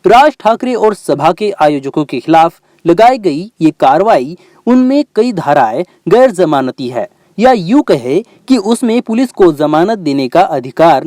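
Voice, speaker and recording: Male news reporter, fairly high voice, very animated intonation, scripted narration. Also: no room sound.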